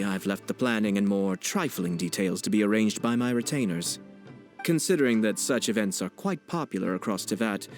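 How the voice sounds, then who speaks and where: An actor playing a poised young nobleman in a video game, speaking in a formal, measured, politely wry way. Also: on the low side, lightly acted. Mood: composed, wry. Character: poised young nobleman, courteous, composed and subtly teasing. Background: background music.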